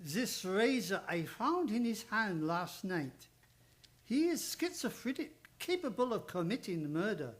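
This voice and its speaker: A man playing a fussy, shrewd elderly professor in a radio drama, speaking deliberate broken English with dropped articles. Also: high-pitched, very animated. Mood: firm, skeptical. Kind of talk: old-time radio drama acting.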